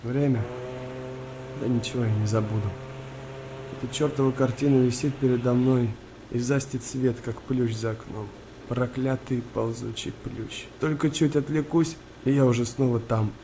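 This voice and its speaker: An actor playing an anguished, haunted young wartime soldier in a radio play, speaking in halting, repetitive phrases. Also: male voice, medium pitch, fairly even intonation, natural voice. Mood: haunted, subdued. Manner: halting, repetitive, emotional delivery. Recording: noisy wartime ambience behind voice.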